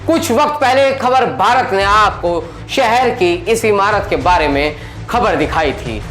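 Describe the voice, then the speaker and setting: A man's voice: high-pitched, lively intonation, a little slow. A man presenting a scripted news report in a dramatic, emphatic, rhetorical style. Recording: echoey voice over loud background music.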